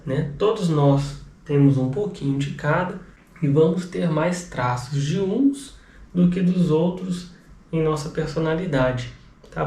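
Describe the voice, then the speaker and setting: A man's voice: mid-range voice, dark tone. A man with a Brazilian Portuguese accent and a cold, explaining personality profiles in a casual video lesson, full of restarts and "né?" tags. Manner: rambling, frequent "né?" tag questions and restarts. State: has a cold, voice affected. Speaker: behavioral profile coach. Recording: echoey room, mic at a distance, faint background noise.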